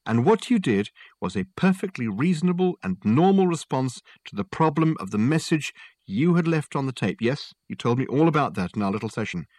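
A man's voice: mid-range voice, big pitch swings, a little fast, a little mellow, no room sound, clean studio sound, voice pushed up. Man putting on a pompous, theatrical detective. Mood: probing. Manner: pompous, elaborate, insistent phrasing. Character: pompous, theatrical, probing male private detective. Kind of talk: audiobook character dialogue.

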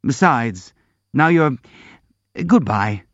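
A man voicing an earnest young prince who speaks in a quiet, subdued way. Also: mid-range voice, animated, drawn-out words, no room sound, highs cut off. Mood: gloomy.

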